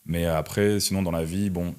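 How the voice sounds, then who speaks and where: A man's voice: low voice, fairly even intonation, normal speed, darkish tone, smooth. A man in his twenties from Russia, answering interview questions in a casual, slangy, conversational way. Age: 20s. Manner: informal, slangy, frequent "tu vois" tags. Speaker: interview guest, entrepreneur and online content creator.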